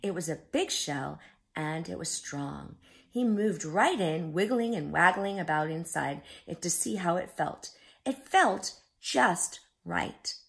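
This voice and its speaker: A woman tells a children's story in an expressive read-aloud style. She takes her time, stretching words for emphasis, and her pitch moves widely. Her slightly low voice has a creaky edge. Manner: explains simply, with drawn-out emphasis. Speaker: children's story reader and teacher.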